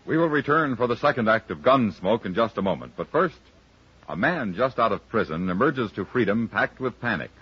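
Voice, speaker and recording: A male 1950s radio announcer with a very husky, low-leaning voice. He reads scripted promos with lively swings of pitch, on a muffled old broadcast recording. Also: fairly quick.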